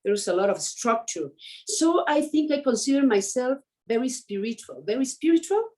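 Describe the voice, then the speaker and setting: A woman, speaking English as an as an interview guest, often pausing and restarting to find her words. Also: high voice, expressive, warm tone. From Mexico. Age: 50s. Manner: hesitant, searching for words with many restarts. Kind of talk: conversational interview.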